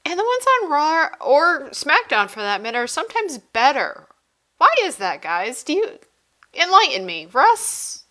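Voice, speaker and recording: A woman's voice: high voice, very animated, measured pace, pushed and bright. A woman hosting a wrestling podcast, moving the talk along and putting questions to her co-hosts. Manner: steering the talk, asks questions. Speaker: podcast host. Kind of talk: hosting casual wrestling chat.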